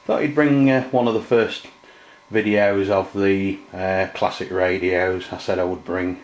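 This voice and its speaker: A middle-aged man giving an informal, halting, unscripted product review in a room at home. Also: low-pitched, fairly even intonation. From England. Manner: hesitant, halting, unscripted delivery. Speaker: presenter, radio hobbyist. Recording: muffled, roomy camera sound.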